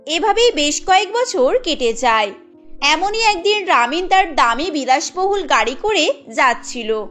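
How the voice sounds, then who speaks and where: Female storyteller for cartoon narration, extremely high voice with animated swings, soft music behind. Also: measured pace. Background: faint music.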